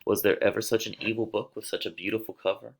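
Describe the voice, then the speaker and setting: Lovesick young girl in a stage tragedy, acted by a man, low-pitched, declamatory, emotional. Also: steady tone, fast. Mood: bitter. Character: lovesick young girl, impatient, then anguished and grieving. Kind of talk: dramatic reading of a modernized stage tragedy.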